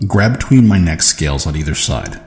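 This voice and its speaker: Man voicing a very deep, brisk dragon shapeshifter. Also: animated, darkish tone, echoey room, soft background music. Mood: brisk, matter-of-fact. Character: gruff, brisk male shapeshifter scout, sometimes a dragon. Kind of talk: audio drama storytelling.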